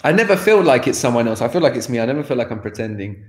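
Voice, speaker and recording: A man's voice: mid-range voice, very animated, racing. Man talking frankly in an echoey room. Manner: rambling, frank, laughs often, restarts. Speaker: guest, language course creator. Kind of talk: candid personal conversation. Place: home room by a sofa. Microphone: video call. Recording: echoey room over video call.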